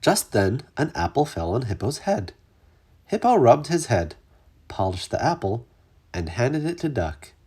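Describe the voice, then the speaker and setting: Expressive children's read-aloud, very lively pitch, slightly rough husky voice, dramatic emphasis. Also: unhurried. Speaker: storybook reader.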